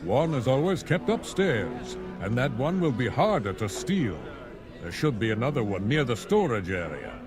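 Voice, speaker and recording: A man's voice: mid-range voice, sing-song, moderate pace, music in the background, natural voice. A man playing a shady, conspiratorial townsman in a video game. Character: shady townsman quietly offering an illicit errand. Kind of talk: video game character dialogue.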